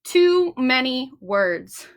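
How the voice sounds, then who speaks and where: A woman's voice: high-pitched, very animated, extremely slow, smooth, exaggerated voice. A woman voicing a formal, matter-of-fact storybook father who is businesslike and dismissive.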